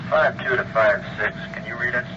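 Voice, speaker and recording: American guy on police radio, lowish, clipped formal dispatch, muffled with noise behind. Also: fairly quick. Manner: clipped, official. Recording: dull police radio, clear background noise.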